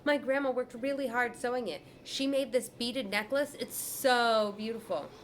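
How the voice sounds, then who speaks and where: A woman voicing a sweet, excited little girl. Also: on the high side, normal speed, slight background noise, voice pushed up, natural voice.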